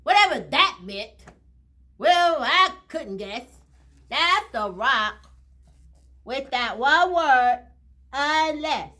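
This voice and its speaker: Very high, bright woman reading to children. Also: expressive, drawn-out words, long pauses. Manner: dramatic, with short phrases, addressing the children directly. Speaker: storyteller reading a picture book to children. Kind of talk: expressive read-aloud for children.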